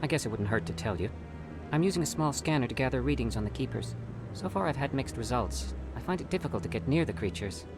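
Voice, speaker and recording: A man's voice: medium pitch, lively intonation, fast talker, over music, close to an everyday voice. A man playing a nervous, earnest scientist in a video game, with a hesitant, guarded way of talking. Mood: cautious. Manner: hesitant, stammering, evasive.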